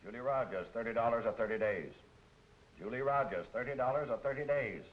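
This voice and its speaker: A man playing a brisk, bored night-court judge who reels off sentences flatly, in old film noir style. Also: mid-range voice, close to an everyday voice. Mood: bored, officious. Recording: dull vintage film audio with hiss.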